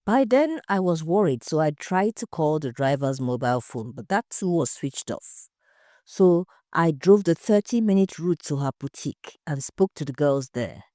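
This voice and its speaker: A woman's voice: sits low, animated, normal speed, mellow, dry recording, studio quality. A woman voicing an anxious, indignant husband accused of murder in an audio story, emphatic and defensive. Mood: worried, indignant.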